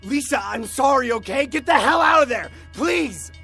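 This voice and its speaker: A man voicing a frantic, guilt-ridden young boyfriend in a horror story, pleading in a strained, tearful shout. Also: very high-pitched, lively intonation, moderate pace, vocal fry, soft background music, pitched above the performer's own voice, exaggerated voice. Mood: panicked shouting.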